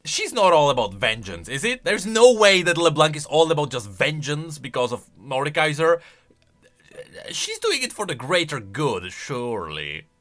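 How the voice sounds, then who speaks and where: Excitable bright-voiced man commenting on games. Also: fairly high pitch, normal speed, faint music behind. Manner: excitable, emphatic. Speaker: gaming YouTuber. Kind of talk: casual lore commentary, reacting to voice lines.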